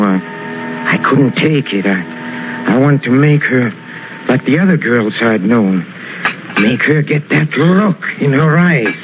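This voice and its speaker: An actor playing a brooding, menacing young killer in 1940s radio suspense style, speaking in halting, repeated phrases. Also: male voice, mid-range voice. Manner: halting, repetitive, broken phrases. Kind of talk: 1940s radio suspense acting, confessional monologue. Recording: muffled old radio recording with music.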